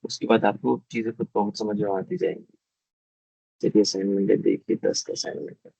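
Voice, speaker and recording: A hoarse male teacher giving a live online class, explaining step by step and often checking that students follow. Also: lowish voice, steady tone, brisk, warm tone, no room sound, low-quality recording. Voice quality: husky, gravelly.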